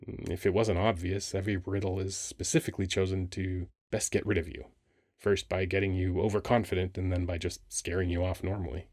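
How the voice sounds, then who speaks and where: A man playing a handsome, playful male sphinx guarding a temple in an ASMR roleplay, talking in a teasing, expressive, conversational way. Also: deep voice, dry recording, studio quality. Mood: matter-of-fact. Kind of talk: ASMR roleplay audio acting.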